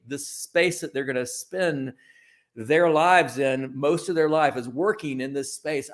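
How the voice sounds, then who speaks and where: Informal, discursive man in an interview. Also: medium pitch. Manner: informal, discursive, self-correcting. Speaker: guest, creative company founder. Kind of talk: conversational interview answers.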